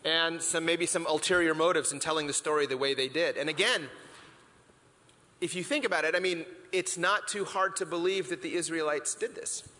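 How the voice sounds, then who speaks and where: A man's voice: a little high, normal speed, sharp tone. A man from the Philippines preaching a conversational sermon in a church hall, reflective and full of rhetorical questions and restarts. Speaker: pastor. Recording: long hall reverb.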